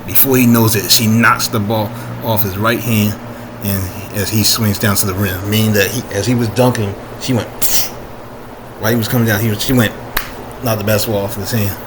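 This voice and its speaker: Guy with a lowish voice reading his story, keeps backtracking and fixing words, noisy room. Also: steady tone. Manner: halting, often rereading and correcting himself. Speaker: amateur author reading own story. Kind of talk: informal story reading with asides.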